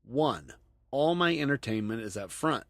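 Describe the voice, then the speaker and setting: A man's voice: mid-range voice, sing-song, unhurried, a bit bright, no room sound. Middle-aged man, podcast host, chatty, laughs a lot, dry close sound, reads emails. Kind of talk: casual podcast reading listener emails.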